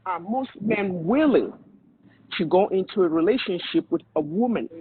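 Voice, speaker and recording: A man with a Nigerian accent holds forth on a podcast, laying down blunt advice in a lecturing tone. His voice runs high and has a husky grain. The sound is narrow and tinny, like a phone call. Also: animated, normal speed. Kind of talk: opinionated podcast discussion. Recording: tinny phone-line audio.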